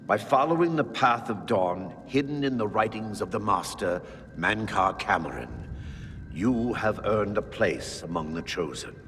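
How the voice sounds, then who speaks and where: An actor playing a stern warden of a dark cult's shrine in a video game, formal, commanding and ceremonious. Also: male voice, lowish voice, lively intonation, measured pace. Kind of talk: video game character acting. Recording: long hall reverb, faint music behind.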